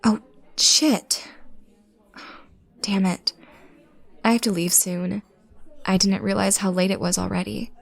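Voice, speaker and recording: Shy young female stranger played by an actress, audio roleplay: unhurried, crackly fry, hesitant, sweetly awkward overthinker. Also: medium pitch, steady tone. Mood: flustered. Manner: hesitant, self-conscious, soft-spoken rambling. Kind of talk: intimate roleplay audio drama, rambling naturalistic chat.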